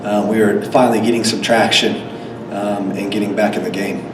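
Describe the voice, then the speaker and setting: A man coaching a team, slightly hoarse from a cold, answering press questions with many fillers and restarts. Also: fairly low pitch, flat delivery, brisk. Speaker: head coach. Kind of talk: post-game press conference answers. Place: press conference room. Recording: echoey room, distant mic, background noise.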